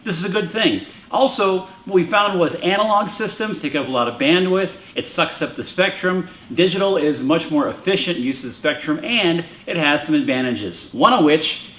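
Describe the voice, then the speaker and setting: Higher-voiced American man, echoey room. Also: fairly bright tone. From United States. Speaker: video presenter and commentator. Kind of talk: explanatory opinion commentary to camera. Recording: roomy echo.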